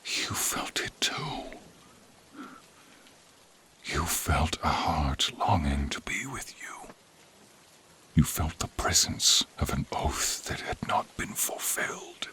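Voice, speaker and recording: Man as a friendly noble dragon, deep register, slow unhurried delivery, breathy, archaic and solemn, fantasy audio roleplay. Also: big pitch swings, bright, forceful tone, whispered, heavily acted. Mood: weak, tender. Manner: slow, archaic, solemn, addressing listener directly. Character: noble friendly male silver dragon, devoted and courtly. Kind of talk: scripted fantasy ASMR roleplay. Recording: rain sound effects behind the voice.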